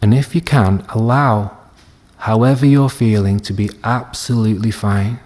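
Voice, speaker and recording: A man leading a guided meditation as a teacher, speaking gently and soothingly with long unhurried pauses. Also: lowish voice, steady tone. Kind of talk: guided body scan meditation.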